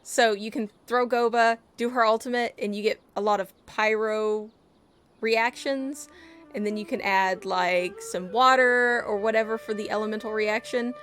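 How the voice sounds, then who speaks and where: A woman's voice: a little high, steady tone, moderate pace, a bit bright. A playful, chatty woman game streamer talking casually and reacting as she plays. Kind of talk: casual chat and reactions while playing a game. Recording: game music in the background.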